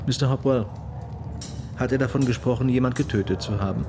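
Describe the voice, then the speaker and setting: An actor playing a methodical, persistent police detective questioning a witness in a matter-of-fact way in a German radio crime drama. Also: male voice, medium pitch, fairly quick, background noise, lightly acted. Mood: probing, insistent.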